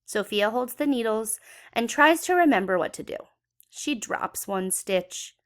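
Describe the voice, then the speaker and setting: A woman's voice: on the high side, animated, a little slow, fairly bright tone. A woman reading a children's story aloud expressively, with dramatic emphasis for young listeners. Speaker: children's book read-aloud educator.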